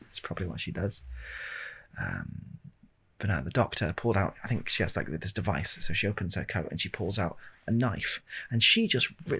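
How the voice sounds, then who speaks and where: A British man telling a rambling comic ASMR story in a deadpan, hesitant way, with a husky, gravelly voice, close to the microphone. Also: low-pitched. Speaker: video storyteller. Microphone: close handheld-recorder style microphone.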